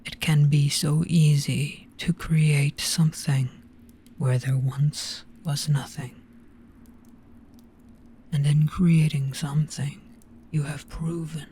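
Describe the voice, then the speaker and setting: A performer voicing an ancient, gentle, weary monster in a hypnotic roleplay, speaking very slowly in a grave, breathy hush. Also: medium pitch. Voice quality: breathy, whispery. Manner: very slow, hushed, grave.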